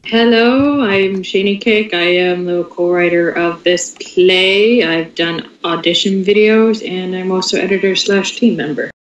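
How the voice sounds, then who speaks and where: A woman's voice: fairly low pitch, unhurried, hardly any pauses, muffled recording. Distant, echoey woman on a call. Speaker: co-writer and editor of a radio play. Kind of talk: casual livestream introduction. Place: online voice call.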